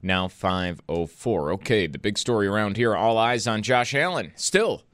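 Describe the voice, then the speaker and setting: A man's voice: fairly low pitch, expressive, normal speed, a bit bright, no room sound. An American man reading a scripted radio newscast as the anchor in a studio.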